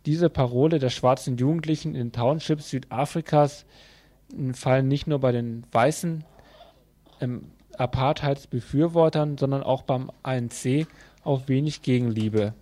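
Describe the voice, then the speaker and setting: Man reading radio news, mellow voice. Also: mid-range voice, moderate pace. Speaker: news presenter on community radio. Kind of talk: read local news bulletin.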